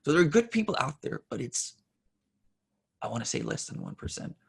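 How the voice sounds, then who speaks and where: A man in his forties from Denmark, a native Danish speaker, talking candidly in an interview in a halting, self-correcting way with a husky, gravelly voice. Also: on the high side, wildly swooping pitch. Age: 40s. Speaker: interview guest.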